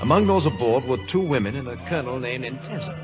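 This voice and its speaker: An actor playing a loyal, forthright naval lieutenant who narrates dramatically in an old radio play. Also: male voice, medium pitch, expressive, fast talker, natural voice. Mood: grave, dramatic. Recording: dull vintage radio recording, loud music.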